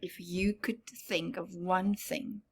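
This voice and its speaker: A woman reading a children's storybook aloud expressively, with chatty asides and often hushed tones. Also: lowish voice, steady tone, slow, slightly rough. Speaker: storyteller, teacher-like reader.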